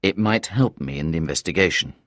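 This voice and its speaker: A man voices a composed police inspector in a storybook recording. He questions politely and evenly in a low voice. The recording is dry and close. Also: lively intonation, brisk, a bit bright, no echo. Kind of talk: audiobook character reading.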